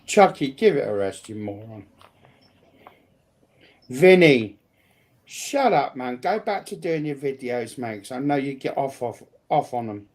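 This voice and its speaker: A British speaker in casual livestream banter, blunt, combative and swearing heavily, with a gravelly voice. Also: mid-range voice, big pitch swings, measured pace, pauses often, darkish tone.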